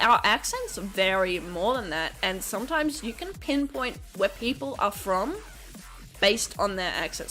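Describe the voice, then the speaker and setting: A woman's voice: mid-range voice, a bit bright. An Australian woman with a casual Australian accent, chatting on a livestream as a VTuber. She talks loosely, laughs often and swears freely. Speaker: VTuber streamer.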